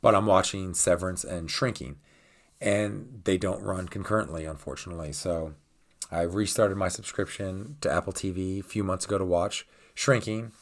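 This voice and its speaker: A very deep-voiced middle-aged man, still raspy as he gets over a cold. He hosts his own channel and rants casually, rambling and filling pauses with ums and uhs. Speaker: channel host. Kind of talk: casual vlog rant.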